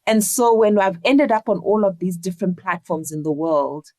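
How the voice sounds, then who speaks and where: A woman from Zimbabwe, speaking earnestly and deliberately as a reflective interview guest. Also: medium pitch, a little mellow, dry recording, studio quality. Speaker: interview guest, leadership coach.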